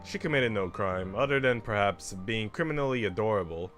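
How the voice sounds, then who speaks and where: Soft, coy, teasing young lady voiced by a man. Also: on the low side, expressive, a little fast, soft background music. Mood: teasing, gentle. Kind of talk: visual novel character reading.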